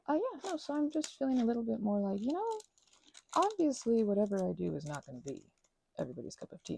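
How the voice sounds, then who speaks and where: A young woman chatting in a rambling, casual way while she works on a craft project, sometimes dropping into soft whispers. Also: mid-range voice, creaky, slight background noise. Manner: chatty, rambling, drifts between soft whispers and louder asides. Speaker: ASMR creator.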